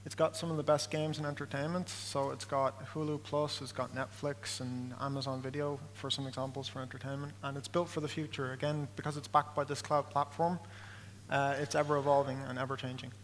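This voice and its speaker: A young man from Ireland, delivering rehearsed product points in a flat, scripted way. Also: mid-range voice, fairly quick, long reverb tail, slight background noise, rough recording. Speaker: software developer presenting.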